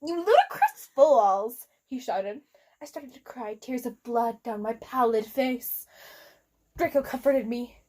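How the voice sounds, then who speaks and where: A woman's voice: fairly high pitch, fairly even intonation, measured pace, darkish tone. A woman giving a comic dramatic reading of fan fiction, theatrical and prone to giggling. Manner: theatrical, giggly, often breaking up. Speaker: reader.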